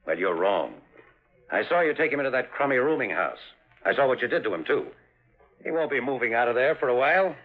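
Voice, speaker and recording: A menacing hired killer played by a man taunts his target with cold threats. His pitch moves widely as he talks, in classic 1950s hardboiled radio style. The recording is slightly muffled and vintage. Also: lively intonation, a little fast, natural voice. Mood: cold menace.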